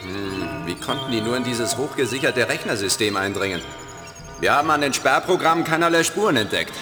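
A male actor as a keen technical crew-member program in a kids' radio play. His mid-range voice is animated, in long reverb with loud music underneath. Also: mid-range voice, brisk, lightly acted. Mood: alert. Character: eager, technical male antivirus scanner program.

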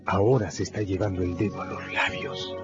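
A man narrating a scripted radio drama in a measured, grave storytelling manner. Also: deep voice, fairly even intonation. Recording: dull radio recording with loud music. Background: loud music.

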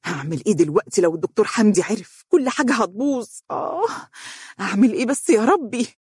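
A woman's voice: mid-range voice, big pitch swings, fast talker, husky, no echo, pitched above the performer's own voice. A woman voicing a nervous, scheming young woman with an Egyptian accent, hesitant and evasive. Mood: anxious irritation. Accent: Egyptian Arabic. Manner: hesitant, stammering.

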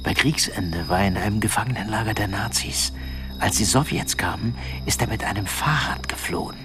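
A man playing a subdued middle-aged man in intimate, hushed radio drama dialogue. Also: on the low side, a little fast, music in the background, lightly acted. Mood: quiet, reflective. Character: subdued middle-aged man quietly recalling family history.

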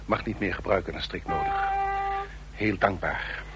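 An actor playing a wily old double agent who poses as a frail salesman, with halting, stammering delivery, in a radio drama. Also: deep voice, loud music behind the voice, lightly acted. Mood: polite, relieved. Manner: halting, stammering, breathy gasps. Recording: noisy old radio play recording.

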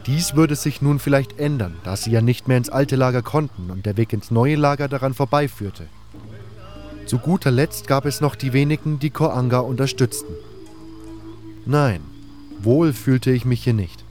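A man's voice: medium pitch, normal speed, a little mellow. Man narrating a fantasy audio drama over music. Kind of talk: scripted first-person audio drama narration.

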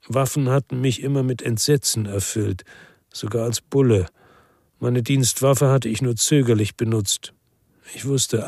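Husky, world-weary male ex-cop. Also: medium pitch, darkish tone, no echo, studio quality. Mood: somber, brooding. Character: world-weary male ex-cop narrating his crime story. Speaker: narrator and lead character. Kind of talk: first-person crime radio drama narration.

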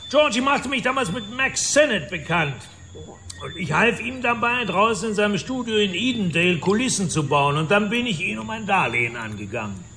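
An actor in a German radio drama playing an older, boastful, domineering self-made property developer who talks in a gruff, swaggering way. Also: male voice, high-pitched, big pitch swings, normal speed, sharp tone, heavily acted. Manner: gruff, swaggering, coarse storytelling.

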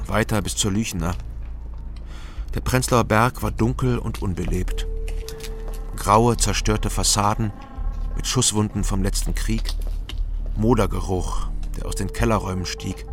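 A man's voice: on the low side, close to an everyday voice. An actor playing a wary East Berlin investigator in a radio crime drama, terse, sober and probing as he narrates and questions. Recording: studio radio drama with music behind.

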